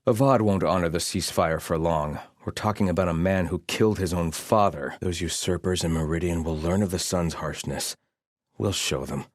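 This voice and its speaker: An actor playing a haughty, devout soldier of a sun-worshipping empire in a video game, with a formal, disdainful delivery. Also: male voice, low voice, normal speed, dry recording, studio quality. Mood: stern, contemptuous. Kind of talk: scripted video game barks and lines.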